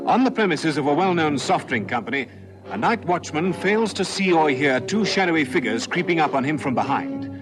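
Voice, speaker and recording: Animated male crime-drama narrator over loud music. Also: a little high, a little fast, rough recording. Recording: vintage radio recording, loud music behind.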